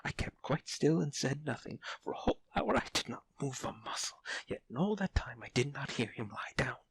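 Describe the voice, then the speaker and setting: An actor playing an obsessed, unhinged murderer in an audio drama horror monologue, theatrical, moving between breathy hush and sudden outbursts. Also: male voice, medium pitch, lively intonation, brisk, whispered. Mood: tense, brooding. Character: obsessed, unhinged murderer confessing his careful crime.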